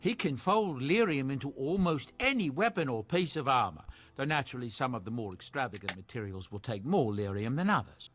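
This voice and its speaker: A man's voice: mid-range voice, big pitch swings, brisk, hardly any pauses, fairly bright tone. A man playing a genial middle-aged dwarf merchant in a fantasy game, a proud father with a folksy, wordy salesman's manner. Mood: proud, selling. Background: game music.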